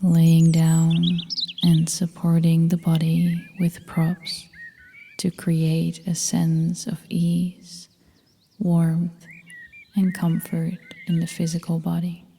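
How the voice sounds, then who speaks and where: A woman guiding a meditation as a yoga nidra instructor, speaking in a soft, breathy half-whisper with a calm, gentle, soothing manner. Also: on the low side, takes it slowly. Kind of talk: guided meditation narration. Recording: long reverb, faint noise with birdsong.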